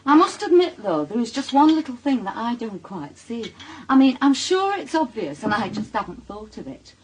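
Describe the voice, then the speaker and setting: An actress playing a shrewd, suspicious wife with a Northern English accent and a dry, bitter wit in a naturalistic radio drama, speaking in a pointed, probing way. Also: female voice, fairly high pitch, very animated, normal speed, a crowd in the background, low-quality recording. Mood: suspicious, sarcastic.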